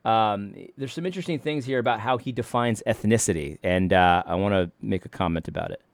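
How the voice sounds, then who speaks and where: A man's voice: on the low side, a little fast, gravelly, no room sound. Rambling commentary man, dry close sound. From Connecticut, USA. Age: adult, married. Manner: rambling, many restarts, mocking asides. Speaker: commentary host reacting to a clip. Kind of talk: casual reaction commentary.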